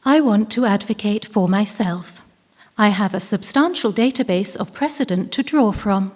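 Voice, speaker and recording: A woman's voice: medium pitch, normal speed, darkish tone, natural voice. A woman playing an earnest, literal-minded ship's artificial intelligence in a live staged drama, with formal, precise wording. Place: live on stage in an arts venue. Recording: reverberant hall, live stage performance.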